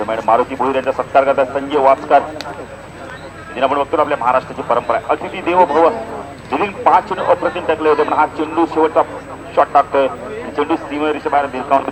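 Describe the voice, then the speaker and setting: A man's voice: on the high side, steady tone, brisk, rough recording. A man giving live commentary and announcements at a local outdoor cricket tournament. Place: outdoor village cricket ground. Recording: outdoor event sound, crowd behind.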